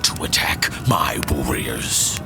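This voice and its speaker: An actor playing a proud, imperious, short-tempered fire titan warlord in a fantasy audio drama, with a commanding, scornful delivery. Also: male voice, rumbling low, slow, creaky, exaggerated voice. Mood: dismissive. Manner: commanding, haughty. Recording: loud music behind the voice.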